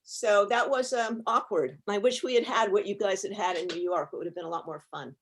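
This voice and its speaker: A woman recalling her career in conversation on a video call, articulate and measured, with a wryly understated way of putting things. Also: medium pitch, a little hiss or hum. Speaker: panel guest, actress recalling her television career. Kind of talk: conversational reminiscing on a panel.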